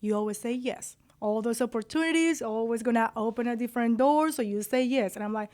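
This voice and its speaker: A woman's voice: a little high, brisk, a little mellow. A woman with a Venezuelan accent, a boutique owner guesting on a radio show, chatting in an upbeat, giggly way. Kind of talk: casual radio interview. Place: radio studio.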